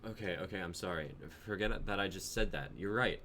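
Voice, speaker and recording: A man's voice: deep voice, brisk, sharp tone. A man playing an insecure, on-edge young boyfriend who pleads and flares up, in a dramatic script reading. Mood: subdued pleading. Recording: noisy amateur livestream audio.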